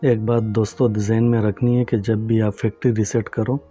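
A man calmly narrating a step-by-step phone tutorial and talking to viewers as friends. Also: on the low side, moderate pace, breathy edge, soft background music. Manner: calm, instructive, addresses viewers as friends. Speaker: tech tutorial host.

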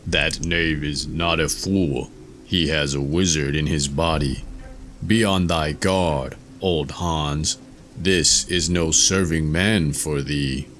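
A man voicing a huge, clownish and stupid male giant, speaking in a slow, ponderous growl. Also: extremely low pitch, fairly even intonation, a bit bright, slight background noise, exaggerated voice. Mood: uneasy.

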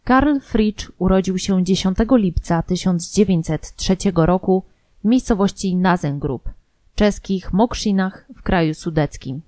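A woman's voice: medium pitch, a little slow, dark tone, no echo. A woman reading scripted biographical documentary narration. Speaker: narrator of a true-crime history audio programme.

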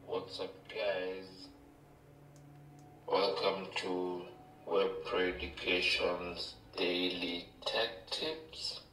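A man's voice slowed by a voice changer into a drunk effect, played from a distant phone speaker. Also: low voice, level tone, bright, forceful tone, soft background music, full character voice. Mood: drunk, sluggish. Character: slow-motion drunk man voice-changer effect. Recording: distant, roomy, noisy phone speaker playback.

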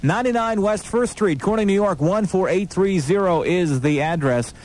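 A man's voice: sits high, moderate pace, darkish tone. A man working as a radio DJ, with casual, conversational, rambling ad-libbed patter. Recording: faintly noisy old aircheck tape.

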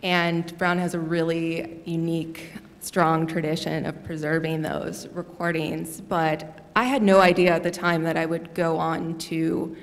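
A young woman graduate student speaking on a university panel at a stand mic, in a measured, steady way. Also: low voice. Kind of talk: panel discussion. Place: lecture hall with audience. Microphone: stand mic at a panel table. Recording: long reverb of a hall.

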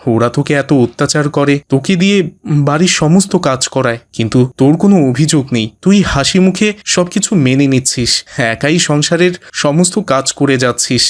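A man's voice: on the high side, normal speed, natural voice. A man voicing a caring, troubled working father in a cartoon, speaking earnestly with some reproach. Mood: tender, troubled. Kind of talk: animated moral cartoon voice acting.

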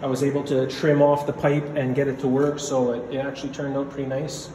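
A man's voice: mid-range voice, flat delivery, a little fast, mellow. Man narrating basement plumbing, echoey room. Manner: rambling, matter-of-fact, many fillers. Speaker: DIY home renovator. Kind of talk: casual narration while filming. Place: concrete basement. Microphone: phone camera held while walking. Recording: echoey basement with background noise.

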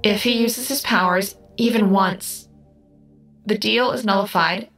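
An actress voicing a calm female artificial intelligence in an audio drama, speaking precisely and formally. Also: medium pitch, unhurried. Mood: grave, formal. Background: music.

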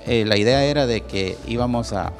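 A middle-aged man, a parent and teacher who speaks haltingly, with fillers and restarts, in an interview with a handheld mic. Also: medium pitch, soft background music. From El Salvador. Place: university event hall, indoors.

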